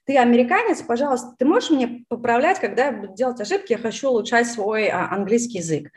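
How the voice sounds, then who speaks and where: A woman's voice: fairly high pitch, animated, quick pace. A woman whose first language is Russian, chatting casually with many fillers and restarts. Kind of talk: casual conversation.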